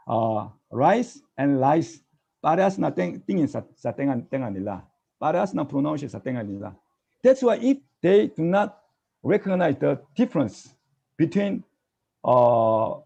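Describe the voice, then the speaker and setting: A middle-aged Korean-accented man lecturing a class with a lively, swooping delivery. His voice is rough with fry and a husky, dark tone. He keeps checking in with tag questions. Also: a little high. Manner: animated, tag questions, mimics students' replies. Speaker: language teacher. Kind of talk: classroom lecture mixing English and Tagalog.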